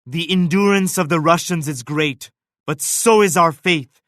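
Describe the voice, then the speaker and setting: An actor playing a devout, proud Indian emperor in a strategy game, speaking in a formal, measured, pious declamatory manner. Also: male voice, on the high side, fairly bright tone, dry recording, clean studio sound. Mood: resolute. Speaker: video game leader character. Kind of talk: scripted strategy game voice lines.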